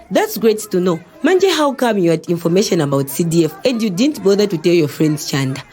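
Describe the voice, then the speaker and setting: A woman's voice: medium pitch, lightly acted. A woman with a Zambian accent playing a chatty, inquisitive middle-aged mother in an educational audio drama, exclaiming and asking questions. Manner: animated, exclaiming, full of questions.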